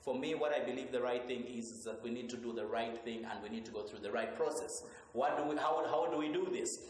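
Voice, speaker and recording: A man's voice: mid-range voice, fast. A man hosting a panel talk show, earnestly preaching about doing things the right way as he wraps up. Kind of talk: panel discussion. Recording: echoey roomy hall with faint noise.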